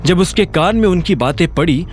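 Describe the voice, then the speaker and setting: Male audio-series narrator, dramatic scripted delivery, fairly high voice with big pitch movement, music underneath. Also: animated, normal speed.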